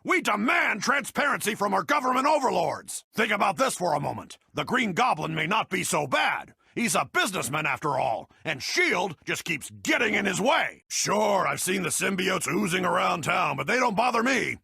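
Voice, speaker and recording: Cantankerous middle-aged news boss, very hoarse rasp, high-pitched bluster, pompous video game broadcast rant. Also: male voice, very animated, moderate pace, few pauses, sharp tone, no echo, clean studio sound, full character voice. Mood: smugly persuasive. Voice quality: gravelly, raspy. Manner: pompous, emphatic, bombastic delivery.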